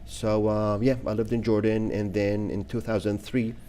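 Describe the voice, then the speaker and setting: A man in his thirties from Iraq speaks as a guest in a casual interview. His voice sits very low and has a dark, mellow tone. He talks haltingly, with frequent fillers and restarts. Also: level tone, clean tone. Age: 30s. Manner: hesitant, many fillers and restarts.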